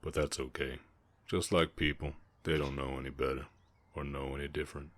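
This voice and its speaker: A man voicing a big, gentle, guarded orc ranch hand with a Southern US accent, speaking politely and haltingly in an intimate Western ASMR roleplay. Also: rumbling low, level tone, no echo. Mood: subdued, wistful. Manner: halting, polite, soft-hearted drawl with folksy turns.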